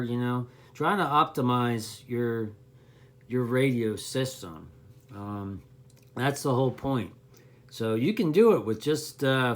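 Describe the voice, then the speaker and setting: Rambling, folksy man explaining casually. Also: mid-range voice. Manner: rambling, folksy, self-correcting. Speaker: CB radio hobbyist explaining gear to beginners.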